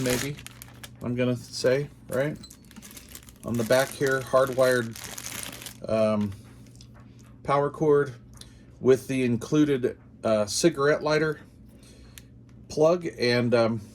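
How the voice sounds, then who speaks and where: A man's voice: medium pitch, a little hiss or hum. A man hosting a casual product unboxing, talking informally and musing aloud in short phrases. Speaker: host of a radio gear channel.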